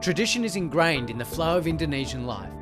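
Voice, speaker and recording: A man delivers a steady, informative scripted narration. His voice sits a little high for a man. Guitar music plays clearly under him. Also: fast talker.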